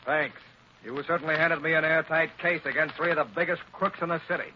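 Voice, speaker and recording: An actor playing an authoritative police commissioner in an old radio drama, with a commanding, clipped manner. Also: male voice, on the high side, steady tone, brisk, close to an everyday voice. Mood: grateful, respectful. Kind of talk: 1930s-40s radio drama acting. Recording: dull, hissy old radio recording.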